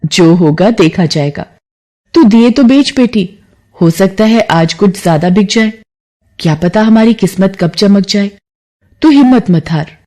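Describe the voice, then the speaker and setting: Woman as gentle, resigned poor mother. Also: medium pitch, lively intonation, measured pace, lightly acted. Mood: encouraging.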